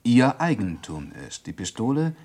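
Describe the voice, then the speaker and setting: An actor in a German radio crime drama playing a controlled police inspector who questions formally with dry irony. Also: male voice, medium pitch, very animated, moderate pace, darkish tone. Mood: probing. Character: police inspector, controlled, probing, dryly ironic interrogator.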